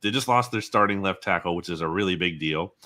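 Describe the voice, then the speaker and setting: A man with a very low, bright and punchy voice gives football analysis as an interview guest. He reasons through his points in a measured, analytical manner.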